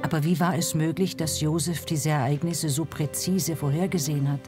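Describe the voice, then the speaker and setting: Documentary narrator, medium-pitched, scripted delivery over a prominent music bed. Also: steady tone, moderate pace.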